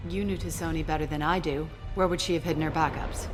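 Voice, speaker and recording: A brusque special agent from a video game, acted by a woman, with a low female voice. She is cocky and wry, giving orders with easy authority over clearly audible music. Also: steady tone, fast, lightly acted. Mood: sardonic. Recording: music behind the voice.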